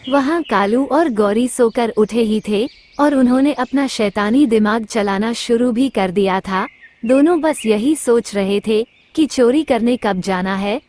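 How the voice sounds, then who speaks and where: A woman's voice: on the high side, measured pace, darkish tone, slight background noise. A woman reading scripted narration for a children's cartoon story.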